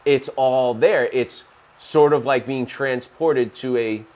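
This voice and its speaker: Man interviewing, quick short prompts. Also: mid-range voice, darkish tone. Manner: encouraging, frequent backchannels. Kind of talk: casual interview.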